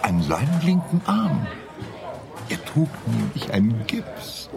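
An actor playing a fussy, put-upon restaurant waiter in a radio play. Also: male voice, mid-range voice, takes it slowly, creaky, over music, heavily acted. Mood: apologetic. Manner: fussy, animated, indignant. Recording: busy restaurant chatter behind.

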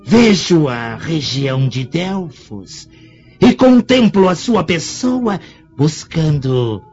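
An actor playing a cunning old Egyptian sorcerer in a radio drama, gravelly, solemn and portentous, drawing out the words. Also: male voice, on the high side, very animated, darkish tone. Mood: ominous, trancelike. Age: older. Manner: solemn, portentous, drawn-out delivery. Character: cunning old Egyptian sorcerer and potion seller, eerie, theatrical. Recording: dull lo-fi radio drama, music behind.

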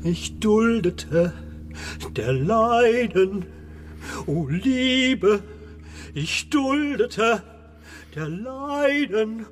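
An actor playing an unhinged, guilt-ridden adult son in a radio crime drama, with a drawn-out theatrical delivery. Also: male voice, sits high, lively intonation, slight background noise, exaggerated voice. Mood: tormented, operatic. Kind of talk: radio crime drama acting, part sung.

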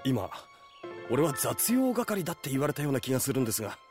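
Cheeky, lively young retainer played by a man. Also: medium pitch, fast, over music, lightly acted. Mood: mock dismay. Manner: breezy, casually polite, wry. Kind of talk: Japanese audio drama acting.

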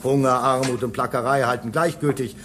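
An actor playing a talkative, excitable space station technician in a German radio drama, lecturing his colleague in an insistent, teasing way. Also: medium pitch, a little fast, natural voice. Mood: insistent, enthused. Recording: clear background noise behind dialogue.

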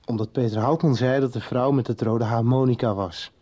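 A man's voice: medium pitch, fairly even intonation, a little fast, warm tone, dull audio, lightly acted. An actor playing Joris, a troubled young man haunted by dreams, talking bluntly in a 1990s radio drama. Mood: tense. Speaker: lead character in a radio soap. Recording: music bed under the dialogue.

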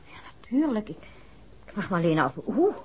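An actress in a 1960s Dutch radio drama, playing a soft-spoken, expressive woman in a detective serial. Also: mid-range voice, brisk, close to an everyday voice. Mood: hesitant, puzzled. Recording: dull, noisy 1960s radio recording.

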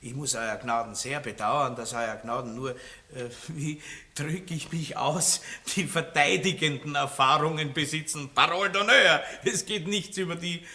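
Ein Schauspieler mit österreichischem Akzent spielt in einem Hörspiel einen großspurigen, lüsternen Landbaron mittleren Alters, der schwadroniert und prahlt. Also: male voice, on the high side, unhurried, pushed and bright, heavily acted. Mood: bragging. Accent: Austrian. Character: boorish lecherous middle-aged country baron. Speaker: radio play actor. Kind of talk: 1960s radio drama acting.

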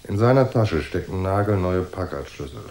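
An actor playing a cool, unflappable private detective who narrates a noir radio drama in a dry, laconic way. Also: male voice, deep voice, lively intonation, normal speed, a little mellow. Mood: cool, matter-of-fact. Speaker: first-person narrator and detective.

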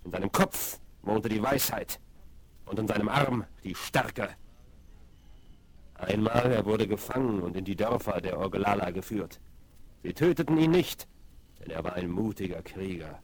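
Solemn, gravelly warrior chief. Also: male voice, fairly low pitch, expressive, unhurried. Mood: grave, admiring. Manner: solemn, ceremonious, inverted word order. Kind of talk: 1970s German radio play acting. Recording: vinyl rip of 1970s radio play.

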